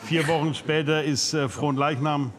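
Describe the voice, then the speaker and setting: A man's voice: on the high side, steady tone, normal speed, crowd noise. A man from Bavaria bantering casually as a quiz show contestant. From Bavaria, Germany.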